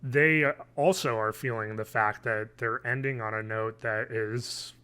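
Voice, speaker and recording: A man in his thirties co-hosts a podcast with a bright, forward voice at a medium pitch. His delivery is informal and conversational, as in a relaxed review of TV shows recorded at home. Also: unhurried, clean tone. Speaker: podcast co-host. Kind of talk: casual chat, reviewing TV shows. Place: home room, on a video call.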